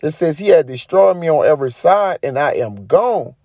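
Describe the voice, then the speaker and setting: A man's voice: on the high side, expressive, normal speed, darkish tone, no echo, studio quality. A man giving an informal sermon, reading scripture and commenting in an emphatic, colloquial way with frequent tag questions. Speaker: preacher.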